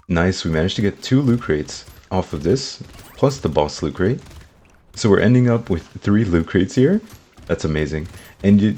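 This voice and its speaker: Low husky man narrating gameplay. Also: warm tone. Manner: upbeat, talks to viewers as guys. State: slightly sick, rough throat. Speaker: gaming YouTuber. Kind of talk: casual gameplay commentary.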